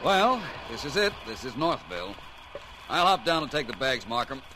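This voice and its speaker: Urbane holidaymaker, played by a man: lively inflection, mid pitch, wry, clearly noisy old radio recording. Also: normal speed, lightly acted. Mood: breezy. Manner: breezy, wry. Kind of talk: old-time radio drama acting.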